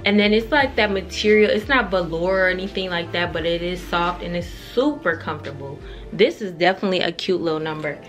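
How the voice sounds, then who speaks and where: A woman's voice: lowish voice, brisk. A young woman vlogging a fashion try-on in a bedroom, chatting casually and candidly with slang and swearing. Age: young adult. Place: carpeted bedroom indoors. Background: music.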